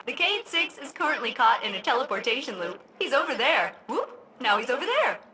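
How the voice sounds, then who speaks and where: A woman voicing a malfunctioning female ship computer in a video game, split between formal cheer and sullen sarcastic deadpan. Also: sits high, fairly quick, noisy background. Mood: chirpy, formal.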